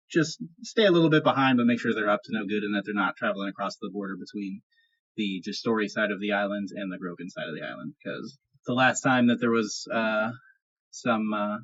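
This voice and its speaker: A man narrating a tabletop game as game master, improvising in a halting way and repeating phrases. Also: fairly low pitch, a bit bright, breathy edge, dull audio.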